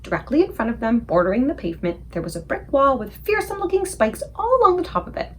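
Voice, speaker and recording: Animated woman reading a children's story aloud. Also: sits high, fast, husky. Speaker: read-aloud book club reader. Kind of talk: expressive children's story narration.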